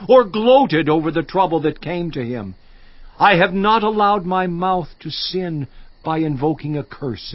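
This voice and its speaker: A man's voice: fairly high pitch, no room sound, dull audio. An actor in a dramatized audio Bible playing an anguished, righteous man who solemnly protests his innocence to God. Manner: slow, solemn, impassioned declamation.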